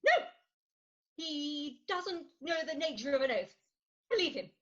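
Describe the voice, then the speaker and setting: A woman's voice: sits high, animated, a little slow, lo-fi sound. An actress playing a dramatic middle-aged Victorian wife and mother in a comic stage reading, theatrical and emphatic, on a remote recording. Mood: indignant.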